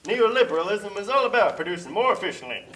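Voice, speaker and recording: A man voicing a pompous puppet-show pitchman for free trade, lecturing smugly like a salesman. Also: sing-song, quick pace, fairly bright tone, far from the mic, low-quality recording. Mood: smug, promoting. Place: community TV studio.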